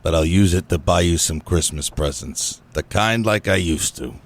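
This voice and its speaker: A man playing a gruff, older small-time crook and sentimental absent father in a comic noir radio play. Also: sits low, moderate pace. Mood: wistful, fond.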